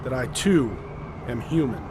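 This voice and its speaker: An actor playing a commanding, methodical leader of a polar expedition in an audio drama, explaining things deliberately and with authority. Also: male voice, medium pitch, expressive, noisy background, lightly acted. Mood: resolute.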